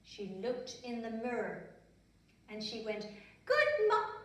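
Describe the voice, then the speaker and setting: Irish lady doing storytime for little kids, high voice, loads of room echo, bit far off the mic. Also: steady tone, takes it slowly. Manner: simple, repetitive phrasing with questions to young listeners. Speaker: children's storyteller. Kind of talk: storytelling for small children, with asides while drawing. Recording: very echoey room, distant microphone.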